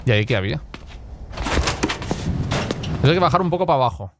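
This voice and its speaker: A man's voice: mid-range voice, expressive, racing, noisy background. A man giving casual, joking, colloquial reaction commentary as a gameplay streamer. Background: game music.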